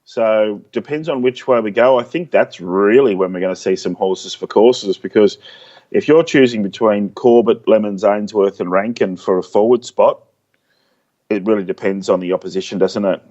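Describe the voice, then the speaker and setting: A middle-aged man co-hosting a casual sports podcast, talking haltingly with many restarts. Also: lowish voice, dark tone, dull audio. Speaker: podcast co-host.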